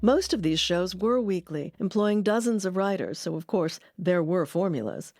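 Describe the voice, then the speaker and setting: A woman's voice: fairly low pitch, lively intonation, normal speed, darkish tone, faint music behind. A woman delivering scripted documentary narration.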